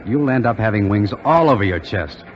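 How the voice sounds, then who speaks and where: An actor playing a brash, friendly young army cadet in slangy 1940s radio drama style. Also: male voice, on the low side, animated, fairly quick, natural voice. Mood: teasing, encouraging. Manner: slangy, ribbing. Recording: dull 1940s radio broadcast, background noise.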